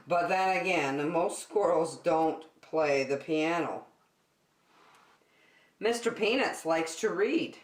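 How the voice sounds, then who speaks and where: A woman reading a picture book aloud to young children as a circle time teacher, expressive and speaking directly to her listeners. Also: low-pitched, roomy sound. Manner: expressive, addresses young listeners with questions and prompts.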